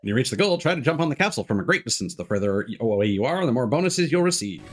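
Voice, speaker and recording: Animated man commentating on a video game. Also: mid-range voice, rapid-fire. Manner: swears, mutters and exclaims at the game. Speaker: game streamer. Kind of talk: casual gameplay commentary. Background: video game music.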